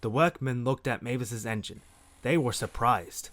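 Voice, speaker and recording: A man's voice: mid-range voice, normal speed, a bit bright. A man narrating a scripted children's story as the narrator of an audio drama.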